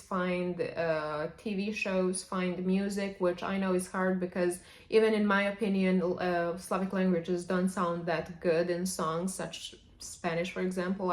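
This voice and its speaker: Woman w/ giving language tips to camera, chatty, keeps saying however, echoey room. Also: medium pitch. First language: Serbian. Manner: chatty, opens many sentences with "however". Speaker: language vlogger. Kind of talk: casual advice to camera.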